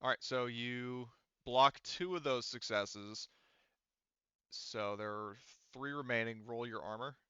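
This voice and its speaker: Bright, clear-voiced man running a tabletop game. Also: mid-range voice, no room sound, highs cut off. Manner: halting, thinking aloud while explaining rules. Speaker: game master. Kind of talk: casual tabletop game refereeing.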